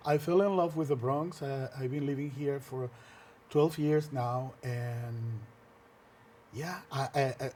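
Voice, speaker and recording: A man whose first language is Spanish speaks in an earnest, conversational way. He pauses often and restarts his sentences. His voice sits at a middle pitch, and faint noise hangs behind it in the studio. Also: mellow. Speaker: filmmaker and workshop teacher. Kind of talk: conversational interview answers.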